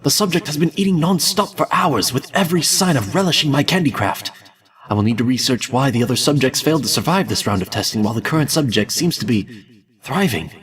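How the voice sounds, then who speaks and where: A man playing an obsessive male candy maker recording his experiments in excited, theatrical journal narration. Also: medium pitch, fairly quick, far from the mic, lightly acted. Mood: gleeful. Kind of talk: fanfiction audiobook reading.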